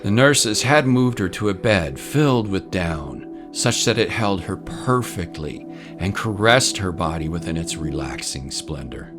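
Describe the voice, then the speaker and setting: A man's voice: low-pitched, big pitch swings, measured pace. A man reading scripted story narration as the narrator of a tale. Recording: voice over prominent music bed. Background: music.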